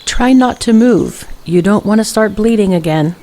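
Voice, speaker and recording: A woman's voice: mid-range voice, lively intonation, normal speed, mellow, lightly acted. An actress playing a caring, well-mannered middle-aged mother in an audio drama. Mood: tender, soothing.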